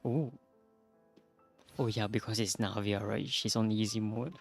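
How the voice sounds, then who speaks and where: A man's voice: fairly low pitch, fairly even intonation, a little slow, gravelly, soft background music. A man doing casual livestream commentary on a game in a laid-back, amused, teasing manner. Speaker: streamer.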